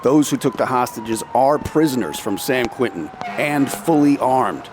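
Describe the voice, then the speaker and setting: An actor playing a dutiful armed officer giving a clipped radio report in an audio drama. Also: male voice, medium pitch, expressive, unhurried, close to an everyday voice. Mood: tense, dutiful. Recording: very noisy outdoor radio exchange.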